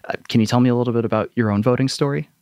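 A man's voice: medium pitch, fairly even intonation, rapid-fire, darkish tone, dry recording, studio quality. A man hosting an interview in an informal, appreciative, conversational way. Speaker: interview host.

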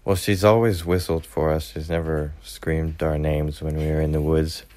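A man's voice: very deep voice, level tone, normal speed, a little mellow, dry recording. A young man answering questions casually in an interview.

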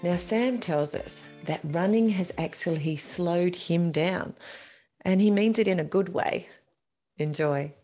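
A woman's voice: lowish voice, a little slow, dark tone, faint music behind. An Australian woman hosting a podcast, chatting casually and informally as an interviewer. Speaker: podcast host.

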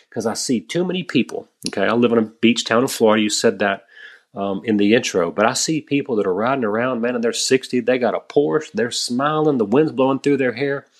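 A man in his late thirties talks as a podcast guest in a mid-pitched voice. He speaks with emphatic energy and keeps calling the host "man". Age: 30s. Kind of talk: podcast conversation.

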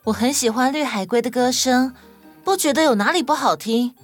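一位女性在儿童动物故事里扮演温柔、真诚又重情义的年轻新娘。. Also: female voice, high-pitched, a little fast. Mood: earnest, gentle. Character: sweet, loyal young bride in animal fable. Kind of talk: children's audio story acting. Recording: music behind the voice.

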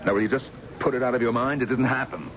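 An actor playing an evasive, irritable husband with a blustering, defensive manner in a 1970s radio drama. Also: male voice, medium pitch, fast talker, natural voice. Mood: defensive, irritated. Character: evasive, irritable husband hiding a hit-and-run. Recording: dull, noisy old radio broadcast recording.

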